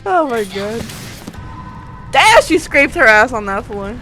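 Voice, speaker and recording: High, excitable young woman gamer. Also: slow pace, poor audio. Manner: slangy, foul-mouthed, excitable. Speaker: gaming video host. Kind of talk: casual let's play commentary. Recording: game music under the voice. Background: video game music.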